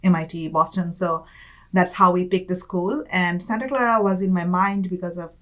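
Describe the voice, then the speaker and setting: A young woman from Bangladesh, a guest in an interview, talking casually with many fillers and soft laughs. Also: lowish voice, steady tone, normal speed. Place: campus studio.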